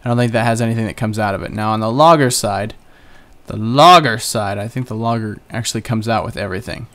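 Young man, gameplay commentary, chatty, self-joking, smooth resonant voice, keyboard clicks behind. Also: fairly low pitch, fast, fairly bright tone. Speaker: gaming channel host. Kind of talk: casual Let's Play commentary.

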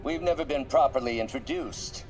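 A man voicing a calm, calculating middle-aged mad scientist villain, formal and menacing, in a video game. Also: mid-range voice, moderate pace, faint music behind, natural voice, poor audio. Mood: smug menace.

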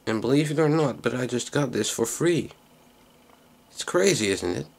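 A man giving a casual, unscripted hands-on demonstration in a low, creaky voice, recorded on a handheld camera microphone. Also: animated, normal speed. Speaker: hobbyist video maker. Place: small indoor workroom.

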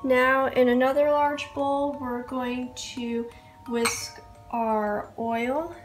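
A woman's voice: high voice, little pitch movement, extremely slow, music in the background. A young woman who is sick with a cold, giving a casual baking tutorial in a home kitchen. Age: young adult. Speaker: baking vlogger. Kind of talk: casual recipe tutorial narration.

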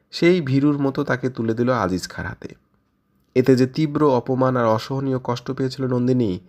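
A man's voice: mid-range voice, darkish tone. Man reflecting on a story for listeners. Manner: reflective, addresses listeners directly. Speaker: audio story channel host. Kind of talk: literary commentary.

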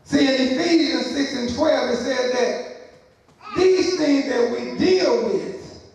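A middle-aged man preaching a sermon as pastor, building to shouted emphasis and asking the congregation for response. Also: very high-pitched, slow, slight background noise. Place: church sanctuary, large, indoors. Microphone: pulpit microphone, far camera. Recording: very echoey church hall from a distance.

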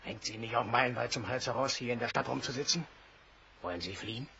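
A blunt, gravelly, hearty sailor. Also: male voice, mid-range voice, fast, pushed and bright. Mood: brash, urging. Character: brash, warm-hearted Northern sailor eager to escape. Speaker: voice actor. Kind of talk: German audio drama acting. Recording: dull, hissy old recording.

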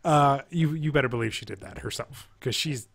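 Relaxed young man hosting a chat. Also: mid-range voice, brisk, creaky, breathy edge, no room sound. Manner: relaxed, laughing, hesitant with fillers. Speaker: podcast host. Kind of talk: casual chat reviewing a TV episode. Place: small room indoors. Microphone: headset, video call.

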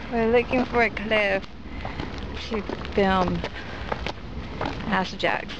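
A woman's voice: a little high, expressive, very slow. A woman chatting casually and playfully, recorded on a handheld camera. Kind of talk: casual chat. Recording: muffled, very noisy handheld camera audio.

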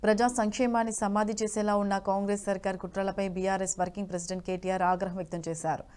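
A young woman news anchor reading headlines in a formal, brisk studio delivery. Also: medium pitch, flat delivery, a little mellow, soft background music.

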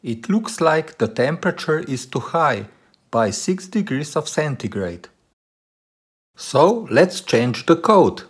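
Animated man vlogger, lively pitch swings. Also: takes it slowly, a little hiss or hum. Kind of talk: presenting to camera and narrating a car tech video.

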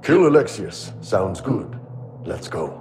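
An actor playing a big, blunt, gruff warrior in a video game, with a sardonic manner. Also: male voice, fairly low pitch, big pitch swings, slow, over music. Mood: blunt, grim. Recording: cavernous reverb, clear background noise.

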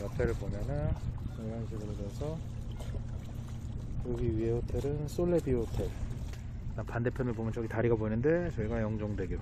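Man vlogging casually over clear background noise. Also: medium pitch, moderate pace, long pauses. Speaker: food and travel vlogger. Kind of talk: casual vlog narration.

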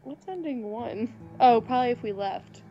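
A woman's voice: a little high, expressive, moderate pace, mellow, highs cut off, faint music behind. A woman playing and reading a visual novel aloud, mixing dramatic narration with casual commentary.

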